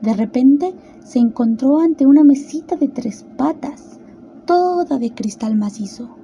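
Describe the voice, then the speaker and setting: A woman narrates a storybook radio drama in a high-pitched voice. The tone is extremely soft and mellow, with faint ambient noise behind her. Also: lively intonation, a little slow.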